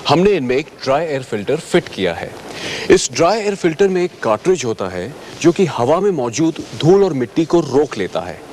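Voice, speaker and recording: A man delivers a scripted training presentation as a service advisor. His pitch moves in a lively way as he courteously instructs the viewer, with faint vehicle and ambient noise behind him. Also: moderate pace.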